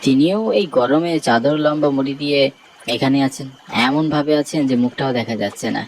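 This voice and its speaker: A man's voice: mid-range voice, fairly quick, slight background noise, natural voice, low-quality recording. An actor voicing an anxious young man who is scared of ghosts, telling a horror cartoon story in the first person. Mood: uneasy. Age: young adult.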